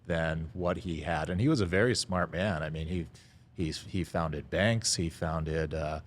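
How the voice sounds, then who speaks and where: A middle-aged man, a guest telling his life story in a casual interview, pausing often on "uh" and chuckling now and then. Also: deep voice. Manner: halting, lots of "uh" fillers, chuckles now and then. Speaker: restaurant founder, podcast guest.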